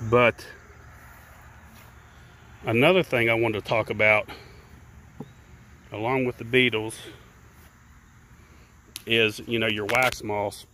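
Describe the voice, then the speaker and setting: Man, mid pitch, folksy rambling beekeeping how-to, talks outdoors into a handheld camera. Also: steady tone, slow pace, long pauses, sharp tone, slight background noise. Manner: rambling, repeats words, plain folksy speech. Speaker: beekeeper and channel host. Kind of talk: casual how-to talk while working hives. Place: outdoors beside beehives in a grassy yard. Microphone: handheld camera held by the speaker.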